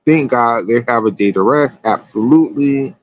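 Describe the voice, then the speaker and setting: American guy streaming casual sports takes, emphatic n slangy, medium pitch, bit lo-fi. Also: normal speed. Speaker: livestream host and gymnastics commentator. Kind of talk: casual sports commentary to live viewers.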